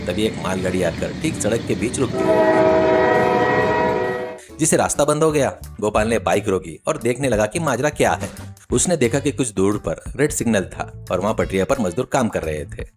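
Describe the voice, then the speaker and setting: A man's voice: fairly low pitch, animated, moderate pace. A man narrates a children's story from a script. He works as a storyteller, and background music runs under his voice.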